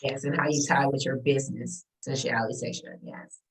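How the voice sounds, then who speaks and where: A woman's voice: extremely low pitch, fairly even intonation, fast, over a noisy crowd. A woman on a group video call, sharing in an enthusiastic, rambling way with lots of fillers. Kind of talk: casual sharing. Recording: distant mic.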